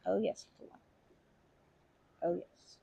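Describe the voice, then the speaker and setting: A woman voicing a kindly, chatty old grandma in an ASMR comfort roleplay, rambling gently with halting phrases and doting endearments. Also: slight background noise, close to an everyday voice. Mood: gentle, reflective. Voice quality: husky, creaky voice.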